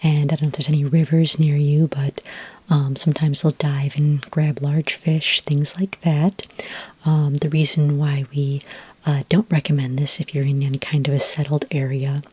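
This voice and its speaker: A woman playing a patient, helpful fantasy dragon ranch expert in a whispered, breathy, hesitant ASMR roleplay. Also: deep voice, level tone, dark tone, dry recording. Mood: gentle, helpful. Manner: hesitant, unhurried explaining, frequent ums.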